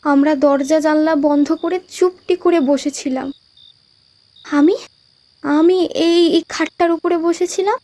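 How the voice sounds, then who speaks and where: A high-voiced invisible girl of about twenty in a cartoon ghost romance. She is gentle, shy and wistful, and talks in an intimate, storytelling way. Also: a little slow, long pauses, no room sound. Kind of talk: Bengali animated love ghost story dialogue.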